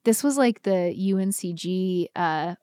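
An American woman co-hosting a podcast, telling personal stories in a casual, animated, slangy and self-deprecating way. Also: on the low side, unhurried, smooth, no room sound, clean studio sound. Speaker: podcast co-host. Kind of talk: casual chat, personal storytelling.

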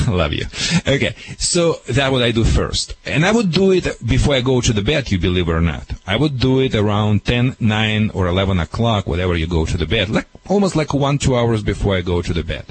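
A man's voice: on the low side, a little fast, a little hiss or hum, rough recording. Man giving dog advice. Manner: broken English, animated and emphatic. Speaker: dog trainer. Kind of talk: call-in advice on radio.

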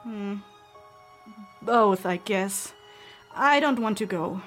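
A woman playing a warm, perceptive, teasing friend in an audio drama, familiar and gently probing. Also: mid-range voice, slow, soft background music, lightly acted. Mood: tender, earnest. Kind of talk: acted fan fiction audio drama.

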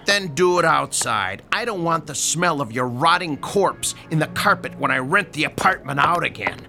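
An actor playing a blunt, sarcastic landlord in a radio drama. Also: male voice, fairly high pitch, expressive, moderate pace, creaky, music in the background. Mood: scolding.

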